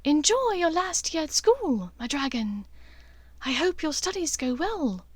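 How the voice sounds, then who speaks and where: Lady as a posh witch mum, high voice, gentle n formal, fond, fantasy audiobook. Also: big pitch swings, a little slow, no echo. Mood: tender encouragement. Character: proud, fond aristocratic witch mother.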